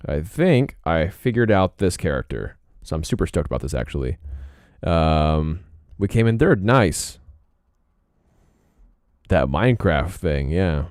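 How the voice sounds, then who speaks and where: A young man streaming casually while he draws, rambling in a laid-back way and often clearing his throat. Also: low voice, big pitch swings, unhurried, darkish tone, no room sound, studio quality. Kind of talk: casual live-stream chat while drawing.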